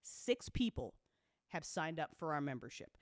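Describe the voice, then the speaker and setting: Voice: mid-range voice, big pitch swings, normal speed, no room sound, studio quality. A podcast creator talking frankly and informally to listeners, swearing freely and repeating points for emphasis. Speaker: audio drama creator and network host. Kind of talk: candid informal business update to listeners.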